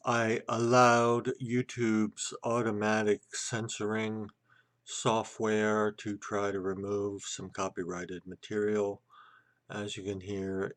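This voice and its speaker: A man giving a deadpan explanatory aside to listeners as the compiler of a tape. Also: lowish voice.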